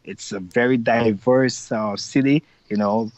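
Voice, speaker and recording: A man's voice: medium pitch, fairly even intonation, unhurried, darkish tone. A middle-aged man from Guyana with a Guyanese accent, talking as an interview guest in an upbeat, rambling way with many fillers. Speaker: carnival organizer, interview guest. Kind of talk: casual interview over video call. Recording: dull lo-fi video-call audio, dry.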